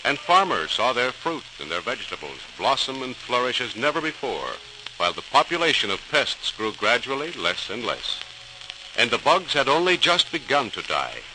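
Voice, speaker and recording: A man's voice: medium pitch, big pitch swings, normal speed. A man narrating a scripted 1940s radio programme as announcer, in a formal, dramatic, declamatory style. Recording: noisy old radio transcription recording.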